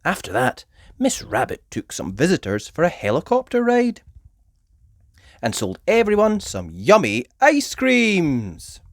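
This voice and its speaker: A woman reading a children's story aloud with expressive, emphatic narration. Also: low-pitched, a little slow, no echo, clean studio sound. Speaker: storybook reader.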